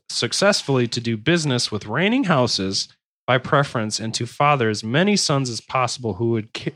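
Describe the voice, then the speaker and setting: A man's voice: mid-range voice, dry recording. A man hosting a casual podcast, reading history aloud between jokes. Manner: jokey, slips into loud silly voices. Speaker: podcast host.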